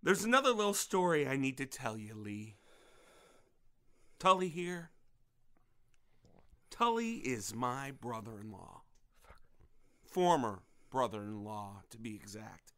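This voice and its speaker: A vengeful father acted by a man in an audio drama, volatile and menacing. His slow, taunting delivery swings widely in pitch, with a hard, forceful edge. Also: very animated, exaggerated voice. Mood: taunting, cruel.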